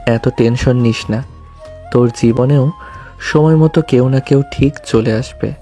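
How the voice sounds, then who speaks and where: A man playing a tender, devoted and sheepish young groom in an audio love story, with a mellow voice. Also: medium pitch, a little slow, soft background music, natural voice. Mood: relaxed.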